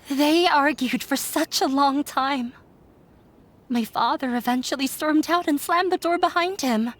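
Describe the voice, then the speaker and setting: An actress voicing a young woman who ran away from a demanding father, speaking in a quiet, resigned way. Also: high-pitched. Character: young woman, runaway daughter, hurt and weary. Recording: game audio with faint music.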